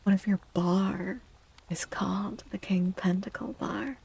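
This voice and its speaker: A woman speaking softly and gently in a breathy, half-whispered ASMR style, like a livestreamer, often repeating phrases. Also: medium pitch, fairly even intonation, unhurried. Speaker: streamer and tarot reader. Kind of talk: soft-spoken ASMR livestream with casual chat.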